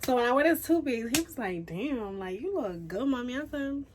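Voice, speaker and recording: A young woman from Haiti chatting casually through a storytime, animated and full of fillers. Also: a little high, normal speed, a little hiss or hum. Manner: chatty, animated, says "like" and "y'all" a lot. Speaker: YouTuber.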